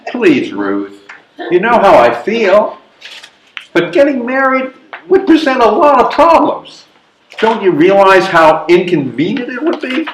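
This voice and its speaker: A man's voice: high-pitched, wildly swooping pitch, unhurried, slight background noise, heavily acted. A man playing a hesitant, fretful elderly widower in a readers theatre comedy, protesting and questioning. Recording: echoey live room recording.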